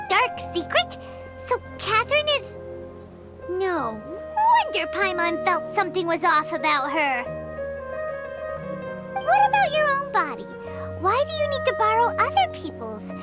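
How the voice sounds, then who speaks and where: Childlike fairy companion, extremely high-pitched, swooping intonation, excitable, exclamatory, video game dialogue, music behind. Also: female voice, a little slow, long pauses, heavily acted. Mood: surprised, suspicious. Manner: speaks of herself in third person, exclamatory. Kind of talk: animated video game voice acting.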